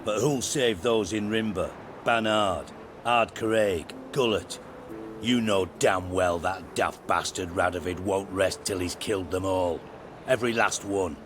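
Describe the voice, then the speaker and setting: A man's voice: sits low, a little slow, over music. A man playing a cynical, crude-tongued older spymaster in a video game cutscene, blunt and theatrical in delivery. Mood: earnest, scheming.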